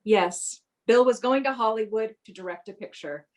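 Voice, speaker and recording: An actress playing a sociable, polite friend who also narrates in a radio play. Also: female voice, on the high side, moderate pace, close to an everyday voice. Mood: reflective. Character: sociable, polite woman friend recalling events.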